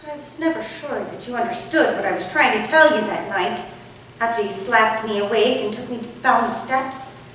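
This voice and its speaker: Woman acting a high-voiced, wistful Victorian wife, echoey. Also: normal speed, fairly bright tone, close to an everyday voice. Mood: confessional, troubled. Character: candid, modest Victorian vicar's wife, wistful. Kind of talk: theatrical staged play reading. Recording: echoey hall from far off, faint noise.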